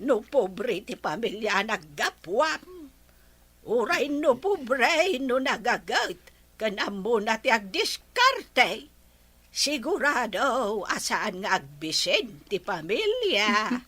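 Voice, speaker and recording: A warm old grandmother speaks with an Ilocano accent. Her voice is bright and rises and falls a lot. She talks very slowly and chuckles often in this radio drama. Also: on the high side, sing-song, husky, exaggerated voice. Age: older. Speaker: grandmother character.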